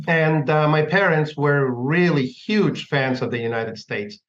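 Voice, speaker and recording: A man's voice: on the high side, takes it slowly, fairly bright tone. A man from Argentina with an Argentine Spanish accent, a guest telling his life story in a casual interview, often hesitating and restarting his sentences. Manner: hesitant, many restarts, laughs easily. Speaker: guest, laundromat owner and business broker. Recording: echoey room, dull lo-fi sound.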